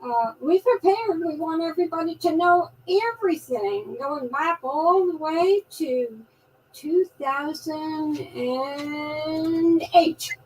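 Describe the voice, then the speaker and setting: A woman's voice: high-pitched, slow, darkish tone. An older woman from New York with a New York accent, a guest activist talking in a folksy, chatty way with frequent restarts and fillers. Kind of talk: live online talk show, casual monologue. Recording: distant mic with faint background noise.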